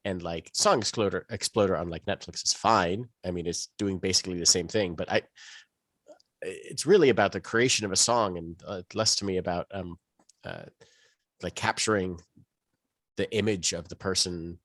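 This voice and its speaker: A man with a somewhat low voice chats as a co-host on a podcast. He is casual and hesitant, circling around his point and rewording it. The sound is close and dry. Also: moderate pace, fairly bright tone, dry recording. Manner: hesitant, circling back to rephrase. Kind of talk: casual chat.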